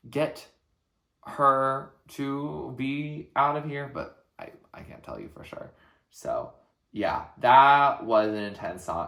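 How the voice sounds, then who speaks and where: A man giving casual reaction commentary as a music reaction host, speaking in a reflective, hesitant, halting way in an echoey room. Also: sits low, a bit bright.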